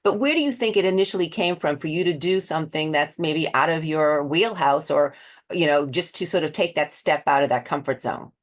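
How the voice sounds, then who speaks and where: A woman's voice: deep voice, a little fast. An American woman hosting a conversational interview in a chatty, rambling way. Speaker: interview host.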